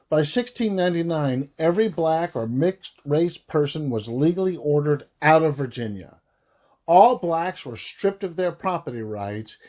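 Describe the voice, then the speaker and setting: A man reading a historical book aloud as its narrator, with deliberate, emphatic delivery in short halting phrases. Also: on the high side, darkish tone. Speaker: audiobook reader, author of the book.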